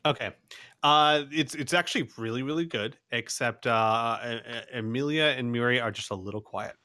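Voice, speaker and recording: A man's voice: mid-range voice, normal speed, bright, forceful tone, no room sound, studio quality. A young man hosting and running a tabletop game, chatting in a rambling, self-deprecating way full of restarts and laughs. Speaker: game master and channel host. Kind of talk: casual chat, running a tabletop session.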